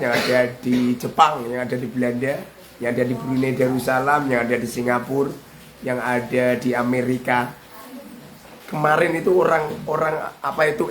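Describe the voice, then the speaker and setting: Male religious teacher with a Javanese accent, casual and joking, mid-pitched, roomy recording. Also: a little hiss or hum. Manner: chatty, joking, reels off long lists. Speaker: Islamic boarding school teacher. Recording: roomy with faint ambience.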